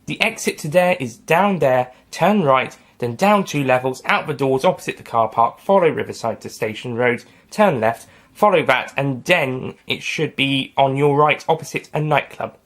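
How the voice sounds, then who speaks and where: A man voicing a female military commander in an audio story, brisk and explaining step by step. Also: mid-range voice, a bit bright, close to an everyday voice.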